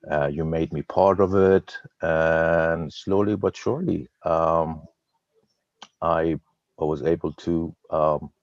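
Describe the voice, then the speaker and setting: A man in his fifties with a Persian accent, speaking very deep and very slowly. He halts often and repeats words with a slight stutter as he tells his own story. His voice comes through a dry, dull web-call line. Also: creaky, a little mellow, dry recording. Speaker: guest trader. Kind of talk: personal story on a webinar. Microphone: video call.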